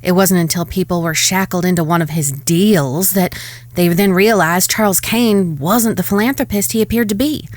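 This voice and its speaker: A woman playing a proud, sharp-tongued ranch woman in a western audio drama, composed and stern. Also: lowish voice, moderate pace, no room sound, natural voice. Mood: grave, scornful.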